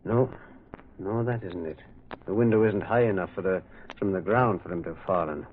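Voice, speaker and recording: A man's voice: deep voice, moderate pace, a little hiss or hum, lightly acted. An actor playing a thoughtful, probing priest detective in a radio drama, hesitant and given to murmuring and repeating words as he muses. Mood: musing. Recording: muffled, phone-like old radio recording.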